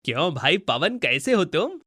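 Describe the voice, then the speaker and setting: A man's voice: fairly high pitch, sing-song, a little slow, pushed and bright, slightly rough, no echo, clean studio sound, heavily acted. A man voicing a grasping, threatening village moneylender in a cartoon story, with a smug, unyielding manner. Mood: mocking.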